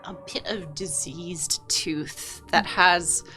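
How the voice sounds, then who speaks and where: A woman running a tabletop game as game master, narrating with lively energy. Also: on the low side, takes it slowly, music in the background.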